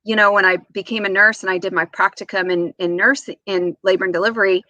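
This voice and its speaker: A woman in her forties speaking as an interview guest, telling casual anecdotes in an animated way and acting out remembered dialogue. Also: mid-range voice, fast, dry recording, lo-fi sound. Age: 40s.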